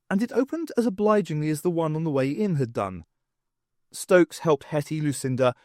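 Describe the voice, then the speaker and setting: A man narrates a story in a scripted audiobook manner. His voice sits a little high for a man, and the sound is dry with very little room around it. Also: dry recording.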